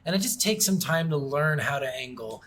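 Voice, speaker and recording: Higher-voiced man chatting on a podcast. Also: animated, normal speed, a bit bright. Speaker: video game podcast host. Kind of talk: casual chat, game review.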